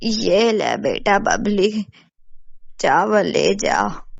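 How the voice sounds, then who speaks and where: A good-hearted old village mother from a bedtime moral tale voiced by a woman narrator. She speaks slowly in a lowered voice with motherly exclamations. The sound is dry and slightly muffled. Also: very animated, vocal fry, no room sound, heavily acted. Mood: kindly. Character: kindly, simple elderly village mother. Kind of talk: storybook character acting.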